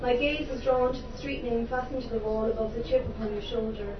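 A woman's voice: on the high side, little pitch movement, brisk, crowd noise. An Irish woman performing her spoken word poetry to a live audience, impassioned and theatrical with wry humorous asides. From Ireland. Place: live venue before an audience. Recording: roomy, distant, dull live recording with background noise.

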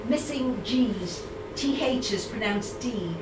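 A middle-aged Irish woman with a Dublin accent, giving a live poetry reading, reciting in a measured way and chatting hesitantly with fillers between poems. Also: on the high side, expressive, noisy background. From Ireland. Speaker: poet. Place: live reading event in a room. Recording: roomy, distant mic, audible room ambience.

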